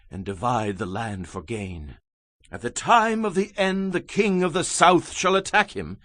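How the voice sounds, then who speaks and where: A man's voice: mid-range voice, a bit bright, slightly rough, no room sound, exaggerated voice. A man performing a solemn prophet recounting apocalyptic visions in a theatrical, emphatic scripture reading. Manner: solemn, emphatic, theatrical delivery with dramatic swells and drops. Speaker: dramatized audiobook Bible reader.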